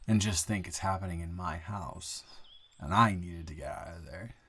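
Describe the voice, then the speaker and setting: A friendly young man played by a male actor talks in a fairly low voice, as if to a close friend. He is conversational and halting, rambling and correcting himself in the loose, improvised way of an intimate roleplay audio. He comes across as an easygoing, grateful newcomer. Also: sharp tone, husky, heavily acted. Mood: reassuring, relaxed. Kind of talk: improvised first-person roleplay audio, casual chat. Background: bird and outdoor ambience sound effects.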